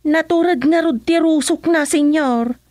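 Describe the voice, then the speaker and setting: An actress playing a cheeky, gossipy servant woman in a radio comedy-drama, with drawn-out exclamations and an Ilocano accent. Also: high-pitched, a little mellow, no echo, studio quality.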